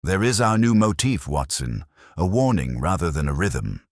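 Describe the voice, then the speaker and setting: A man voicing a very deep, solemn master detective. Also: sing-song, no echo, clean studio sound. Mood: grave, soft. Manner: measured, solemn, aphoristic. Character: grave, brooding, coldly cerebral male detective. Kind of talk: dramatised storybook reading.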